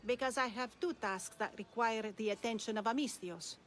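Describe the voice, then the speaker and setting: A woman's voice: fairly high pitch, moderate pace, a bit bright, slight background noise, lightly acted. An actress playing a shrewd, enigmatic older woman of ancient Greece in a video game, composed and cryptic. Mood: testing, composed.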